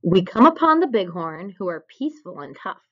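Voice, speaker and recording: A woman reading a children's picture book aloud in an expressive storytelling style. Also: medium pitch, moderate pace. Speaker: storybook reader. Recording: dull, lo-fi recording.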